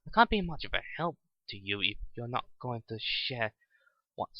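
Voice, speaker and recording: A man voicing a small, timid lackey nephew in a dramatic story reading, speaking in a hesitant, halting way. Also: mid-range voice, sing-song, no room sound, lo-fi sound. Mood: frustrated.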